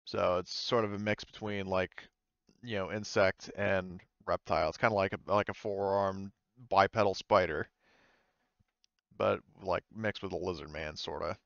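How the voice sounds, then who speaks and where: A man's voice: low voice, fairly even intonation, moderate pace, vocal fry, fairly bright tone, no room sound, dull audio. A man working as game master, narrating and explaining in a hesitant, rambling way during a tabletop roleplaying game.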